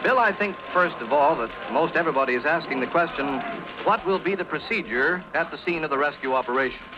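An American man delivering a formal, clearly projected 1930s live radio news report. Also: fairly high pitch, lively intonation, fast talker, background noise. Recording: muffled 1930s radio recording, busy background.

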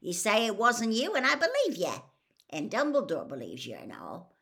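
A woman voicing a huge, kindly, rough-spoken man in a storybook reading, with folksy, homely dialect speech. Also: mid-range voice, huge pitch swings, moderate pace, a bit bright, voice pushed up, full character voice. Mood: earnest.

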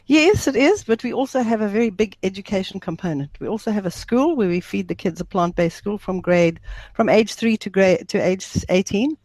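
A woman's voice: mid-range voice, animated, fairly quick, a little mellow, no room sound, rough recording. Middle-aged South African woman, emphatic interview guest, very dry close sound. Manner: emphatic, talkative, explains at length. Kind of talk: interview conversation.

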